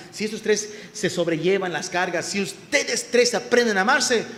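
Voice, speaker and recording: High-voiced preaching man, echoey church. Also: fairly bright tone, a little hiss or hum. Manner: preaching, asks the congregation questions, voice rises in emphasis. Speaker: pastor. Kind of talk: sermon. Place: church hall. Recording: echoey church hall, faint ambience.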